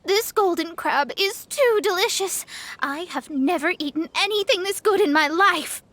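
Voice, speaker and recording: A woman's voice: very high voice, lively intonation, moderate pace, a bit bright, slight background noise. A woman playing a proud young astrologer in a video game, with a haughty, theatrical and formal manner. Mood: haughty, delighted. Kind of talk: video game character acting.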